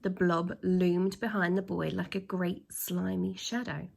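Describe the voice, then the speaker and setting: A woman reading a children's story aloud with dramatic emphasis, pausing to put questions to her young listeners. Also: fairly low pitch, flat delivery, vocal fry, a little mellow. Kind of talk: expressive storytime narration with asides to children.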